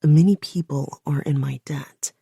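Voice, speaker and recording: A woman's voice: low voice, steady tone, a little slow, creaky, warm tone, slightly rough, no echo, studio quality, voice pushed down, exaggerated voice. A woman voicing a slick, menacing male blackmailer who purrs his threats in a half-whisper.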